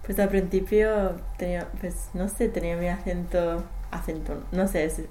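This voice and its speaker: A young woman whose first language is English, answering interview questions in halting speech with many fillers and restarts. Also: on the low side, little pitch movement, dark tone. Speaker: language study participant. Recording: echoey room, faint noise.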